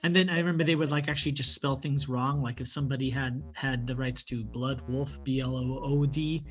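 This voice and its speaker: A man co-hosting a show, chatting in a relaxed, casual way. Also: mid-range voice, brisk. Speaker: podcast co-host. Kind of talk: casual chat. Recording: muffled lo-fi audio.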